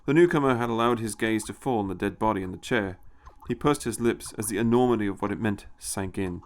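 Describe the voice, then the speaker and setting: A man's voice: fairly low pitch, brisk. A man reading a short science fiction story as scripted dramatic narration.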